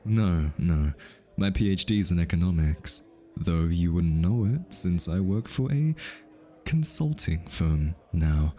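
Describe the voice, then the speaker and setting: A man plays a hitman undercover among high society in an ASMR roleplay. His voice is low and he takes his time over his words. He is pretentious and archly courteous, teasing with polished politeness while being easily flustered underneath. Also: animated, warm tone, faint music behind. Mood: smoothly polite. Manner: arch, teasing, theatrically polite with halting hesitations. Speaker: voice actor.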